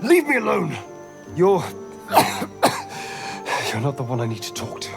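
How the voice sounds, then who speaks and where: A man's voice: high-pitched, wildly swooping pitch, slow, vocal fry, heavily acted. A man playing an agitated, desperate schemer in a video game, muttering to himself erratically. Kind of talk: video game dramatic acting. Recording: music behind.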